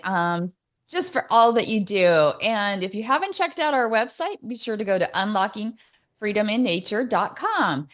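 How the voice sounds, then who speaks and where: A woman co-hosting a casual livestream, talking in informal, loosely strung phrases. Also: medium pitch. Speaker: co-host.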